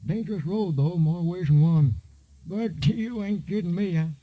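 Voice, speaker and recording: An actor playing a folksy, sly old car lot attendant in a radio drama, with a husky voice and a drawling rural American accent. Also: male voice, high voice, normal speed. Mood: sly, amused. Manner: drawling, homespun, chatty. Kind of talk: 1950s radio drama acting. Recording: muffled vintage radio recording.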